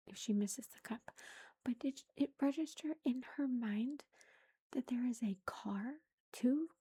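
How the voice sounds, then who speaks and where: Woman vlogger, whispering a chatty story, mellow and breathy. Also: on the high side. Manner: whispering throughout, chatty with fillers. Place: indoors at home. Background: lawnmower faintly outside.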